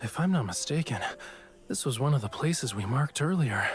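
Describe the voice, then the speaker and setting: A man's voice: medium pitch, expressive, normal speed, natural voice. An actor playing a young male love interest in a romance game, playful, casual and wry, with teasing delivery. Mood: relaxed, teasing.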